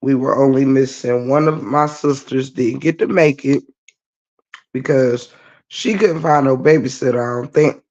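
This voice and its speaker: A woman with a naturally low voice that is scratchy today, chatting laid-back to viewers on a livestream from her bed. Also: unhurried, a little mellow. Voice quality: low-toned voice. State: scratchy voice after a night of singing. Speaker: livestream host. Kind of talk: casual chat greeting viewers. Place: lying in bed at home. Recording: dull lo-fi stream audio.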